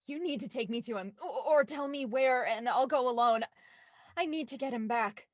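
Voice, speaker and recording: A woman's voice: high-pitched, moderate pace, dry recording, lightly acted. An actress playing a determined, impatient woman with an urgent, insistent manner in an audio drama. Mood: desperate. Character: Felicity, determined, impatient woman desperate to find her brother.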